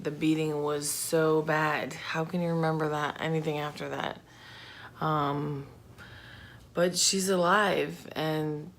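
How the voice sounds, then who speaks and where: A woman's voice: low voice, slight background noise. A woman telling true crime stories in an informal, conversational vlog style, with slangy asides. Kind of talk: casual true crime storytelling.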